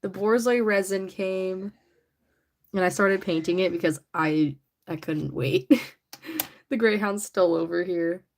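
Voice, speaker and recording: A young woman hosting a casual livestream, chatty and giggly, her voice a little raspy from allergies. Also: medium pitch, unhurried, pauses often. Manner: chatty, giggly, rambling with many "like"s. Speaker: livestream host. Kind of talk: casual livestream chat while painting a figure. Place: indoors at home.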